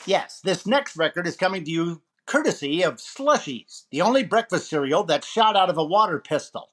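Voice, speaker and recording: A man's voice: high voice, animated, moderate pace, a bit bright. A man playing a brash, wisecracking comedian as a jive-talking disc jockey in 1940s radio comedy style, with showy, punchy patter. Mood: upbeat, showy.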